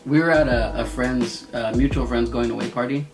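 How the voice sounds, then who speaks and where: A man's voice: medium pitch, brisk. A man in his thirties chatting casually beside his wife in a Q&A video, poking fun at himself as socially awkward. Music plays under the voice throughout. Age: 30s. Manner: self-deprecating, jokey, admits being awkward. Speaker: co-host of a couple's channel. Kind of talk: casual couple's Q&A chat.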